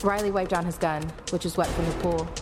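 A woman's voice: lowish voice, fairly even intonation, brisk, natural voice. A woman playing an alien-fighting heroine who narrates an audio drama in the first person. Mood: tense, suspenseful. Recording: loud music bed behind the voice.

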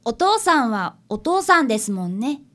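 A woman's voice: fairly high pitch, big pitch swings, moderate pace, fairly bright tone. A woman playing a polite, earnest fifth-grade boy who is an apprentice detective and narrator in a radio drama. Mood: earnest, curious.

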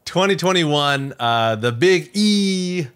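Male podcast co-host, bright and punchy, very animated delivery, playful and conversational. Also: on the high side, takes it slowly, clear, resonant tone. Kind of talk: casual podcast chat.

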